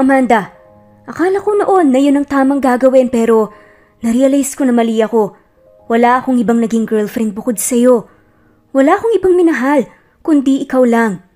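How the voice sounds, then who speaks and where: Woman playing a sorry ex-boyfriend, gentle, pleading, earnest, high voice, romance audio drama, soft music behind. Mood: remorseful, pleading. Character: gentle, remorseful man pleading with former girlfriend. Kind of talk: romance audio story acting.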